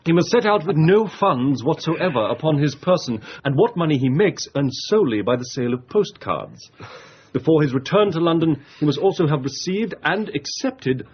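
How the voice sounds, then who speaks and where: An actor playing a meticulous, formal lawyer in a period radio drama, speaking in precise, measured phrases. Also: male voice, fairly high pitch, very animated. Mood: formal, officious. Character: formal, meticulous male lawyer reading out wager terms. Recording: dull lo-fi radio copy, faint hiss.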